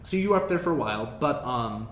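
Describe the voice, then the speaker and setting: A man narrating a tabletop fantasy game as game master, improvising in a halting way and repeating phrases. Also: lively intonation, a bit bright. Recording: roomy echo, faint background noise, rumble.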